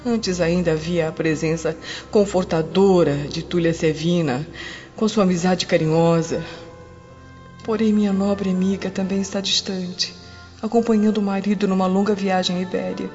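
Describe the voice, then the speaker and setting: A woman's voice: lowish voice, fairly even intonation, moderate pace, darkish tone, close to an everyday voice. An actress playing a sorrowful, pensive Roman noblewoman in a radio drama, in a solemn, theatrical monologue. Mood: anguished, pensive. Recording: dull lo-fi radio drama audio. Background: music.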